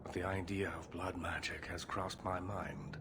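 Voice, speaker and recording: An actor playing a grave elder male mage leader in a video game, speaking in a formal, careful, measured way. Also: low voice, level tone. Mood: grave, guarded. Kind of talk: video game cutscene acting.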